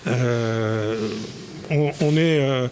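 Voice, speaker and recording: A man's voice: medium pitch, extremely slow, darkish tone, a little hiss or hum. A man who volunteers as a board member, explaining earnestly with frequent hesitations. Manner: hesitant, many fillers, earnest. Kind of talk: interview answers.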